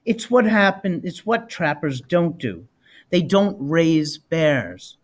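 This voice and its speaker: Man as a patient storytelling parent, animated. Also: fairly high pitch, slow pace, full character voice. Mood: patient, explaining. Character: patient parent telling child a bedtime story.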